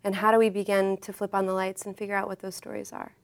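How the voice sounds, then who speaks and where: A woman's voice: mid-range voice, flat delivery, fast talker, creaky. A woman author and artist, a podcast guest speaking in a reflective, earnest way. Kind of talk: podcast interview.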